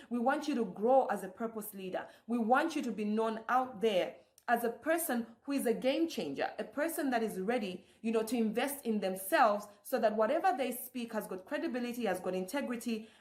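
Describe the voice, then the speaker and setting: Woman host, motivational coach, echoey room sound. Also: on the high side. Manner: coaching, rhetorical questions to the listener. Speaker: summit host. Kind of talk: motivational address.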